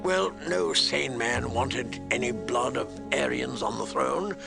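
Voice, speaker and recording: A man voicing a gruff old lord commander in an audiobook, bluntly telling a family history. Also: medium pitch, takes it slowly, over music, voice pushed down. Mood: blunt, wry. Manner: blunt, storytelling. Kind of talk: audiobook character acting.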